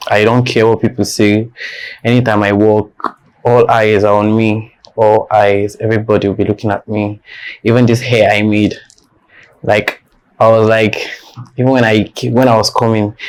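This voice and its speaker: A man's voice: on the low side, clean tone, rough recording. A young man with a Nigerian accent, a guest in an interview, talking bluntly and defiantly and repeating his points for emphasis. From northern Nigeria. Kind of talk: candid personal interview.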